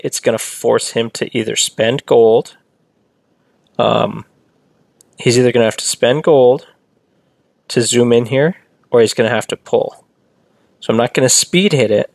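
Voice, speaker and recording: Male game streamer, casual chatty play-by-play, gravelly edge, dry close sound, talks to chat. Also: mid-range voice, dry recording. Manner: chatty, reads and answers chat, rambling asides. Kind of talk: casual live-stream commentary.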